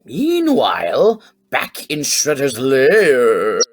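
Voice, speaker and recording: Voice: mid-range voice, wildly swooping pitch, very slow. A narrator reading a scripted fan fiction story aloud.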